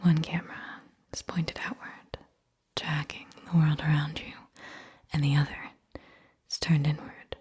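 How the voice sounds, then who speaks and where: A woman speaks in a steady, breathy whisper, pitched on the low side. She hosts in an ASMR style, guiding the listener through a visualization with gentle instructions. She addresses the listener directly and often checks in with small soft questions. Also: a little slow.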